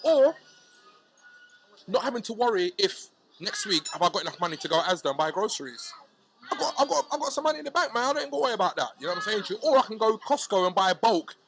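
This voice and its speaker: Animated slangy man, bright voice. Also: high voice, quick pace, a little hiss or hum, poor audio. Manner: animated, slangy, repeats "you know what I'm saying". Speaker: hip hop artist guest. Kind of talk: casual podcast chat.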